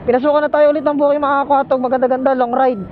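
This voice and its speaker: A man's voice: very high-pitched, level tone, very fast, narrow, tinny audio, a little hiss or hum. A Filipino man chatting casually as a motorcycle taxi rider and vlogger, polite and jokey, into a helmet intercom microphone. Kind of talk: casual ride vlog chat. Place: outdoors on a motorcycle on the road.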